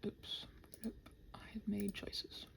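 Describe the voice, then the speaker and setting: A woman rambling casually to herself in a body-doubling ASMR style, scattered and muttering, often slipping toward a whisper. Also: slow pace. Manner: playfully talks to the computer and quotes imagined exchanges. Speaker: channel host rambling while working.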